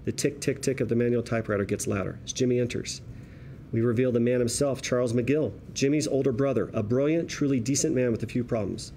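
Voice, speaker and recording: Male table-read narrator, warm relaxed tone, measured descriptive delivery of stage directions, faint background noise. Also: medium pitch.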